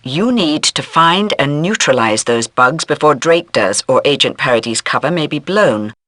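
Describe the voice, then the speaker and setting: Bright, authoritative spy handler. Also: female voice, low voice, lively intonation, normal speed, dry recording, clean studio sound. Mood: stern, businesslike. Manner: crisp, formal, authoritative. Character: stern, businesslike intelligence handler directing a secret agent. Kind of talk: scripted game mission briefing.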